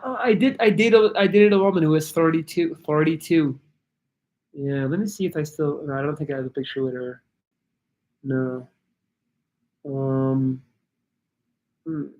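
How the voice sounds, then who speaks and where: Halting Belgian-raised man reading chat. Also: fairly high pitch. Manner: rambling, halting, many restarts and fillers. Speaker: YouTuber answering live chat. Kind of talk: casual livestream chat.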